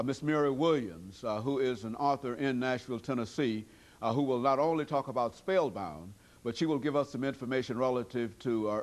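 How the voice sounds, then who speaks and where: A middle-aged man hosting an interview show, speaking slowly with many "uh" pauses. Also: medium pitch. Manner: slow, halting, many "uh" fillers and steady "mm-hmm" backchannels. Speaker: talk show host.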